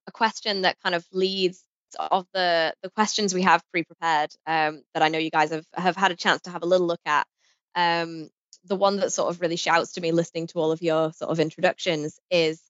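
A woman acts as podcast host, guiding a panel interview. Her voice sits somewhat low and her delivery is effusive and conversational. The sound is dry and a bit muffled. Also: fairly even intonation, moderate pace, a bit bright, no room sound.

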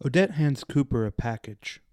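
A man's voice: mid-range voice, lively intonation, moderate pace, a little mellow, dry recording, studio quality. A man reading scripted narration as the narrator of a radio play.

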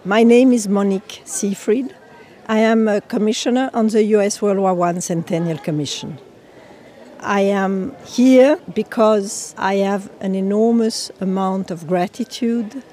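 A woman's voice: mid-range voice, unhurried, warm tone, a little hiss or hum. A woman from France with a French accent, a commission member giving an earnest, formal interview statement outdoors. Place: outdoors at a public event.

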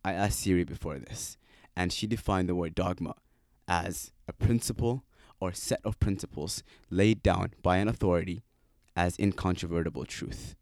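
A man with a very low voice hosts his own podcast, speaking English as a second language after Somali. He thinks aloud in a loose, joking way, piling up rhetorical questions. The sound is close and dry, with no room echo. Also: normal speed, a little mellow. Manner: casual, playful, full of rhetorical questions. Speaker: podcast host. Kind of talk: solo reflective podcast monologue.

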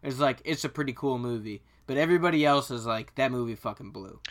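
A man's voice: mid-range voice, fast talker. A man co-hosting a podcast, chatting casually in blunt, slangy language. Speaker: podcast co-host. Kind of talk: casual, profane chat about films.

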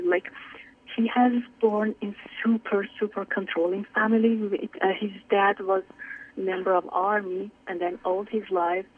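A woman's voice: medium pitch, a little hiss or hum. A young woman from Iran calling in to a radio show, talking hesitantly with many fillers as she searches for words. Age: young adult. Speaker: caller. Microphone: telephone. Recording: tinny phone line.

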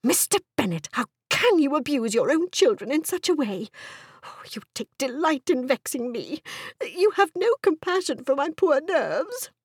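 A woman voicing a fussy, excitable, scheming middle-aged mother in a period novel audiobook, with a fluttery, gushing, exclaiming manner. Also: high voice, moderate pace, no room sound, clean studio sound, pitched above the performer's own voice. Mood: indignant.

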